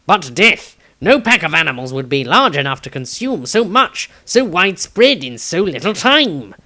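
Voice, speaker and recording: A man's voice: fairly high pitch, very animated, moderate pace, bright, forceful tone, voice pushed up. A man voicing a small, food-loving halfling in a fantasy storybook, cheeky and easily flustered, with a jokey, spluttering delivery. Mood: alarmed.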